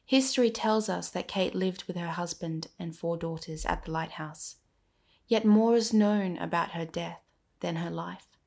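A woman's voice: on the low side, measured pace, vocal fry, darkish tone. A woman narrating a scripted history podcast, speaking directly to the listener in a dramatic storytelling manner.

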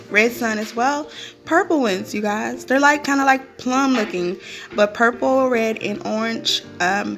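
A young woman chatting casually to her viewers while showing a grocery haul in her home kitchen, upbeat and full of "um" and "you guys". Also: mid-range voice.

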